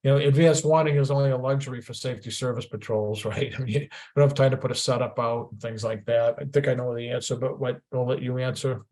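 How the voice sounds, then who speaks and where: A man's voice: medium pitch, steady tone, quick pace, hardly any pauses, darkish tone. Rambling Boston-accented male webinar host. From Boston area. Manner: rambling, many restarts and fillers, jokey. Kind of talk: casual moderated webinar discussion.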